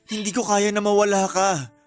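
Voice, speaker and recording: An actor playing a desperate lover in a radio drama, begging with a Filipino accent. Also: male voice, high voice, flat delivery, moderate pace, soft background music, lightly acted. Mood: pleading. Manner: pleading. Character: desperate male lover begging not to be left.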